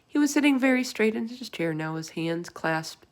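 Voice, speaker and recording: A woman's voice: a little high, animated, moderate pace. A woman reading a children's storybook aloud as scripted narration. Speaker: storybook reader.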